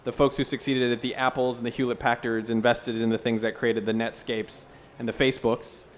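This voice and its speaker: A man's voice: medium pitch, little pitch movement, brisk. Man explaining on a noisy conference panel. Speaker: panel speaker from an entrepreneurship foundation. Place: conference session room.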